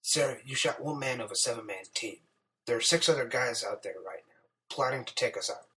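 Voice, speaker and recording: A man's voice: medium pitch, a little fast, bright, forceful tone, natural voice, rough recording. A man playing a protective, commanding male survivor in an audiobook, speaking firmly and urgently. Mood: fed up. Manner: firm, urgent.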